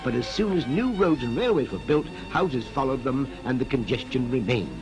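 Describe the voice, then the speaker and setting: An actor with a British accent playing a brisk, persuasive town planner in a 1940s animated public information film, clipped and instructive. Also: male voice, mid-range voice, lightly acted. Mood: brisk, explanatory. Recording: vintage 1940s film soundtrack with music.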